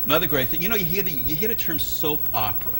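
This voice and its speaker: A man hosting a casual TV interview, playful and quick to joke. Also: on the high side, over music, low-quality recording. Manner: playful, joking, lively host. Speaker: talk show host.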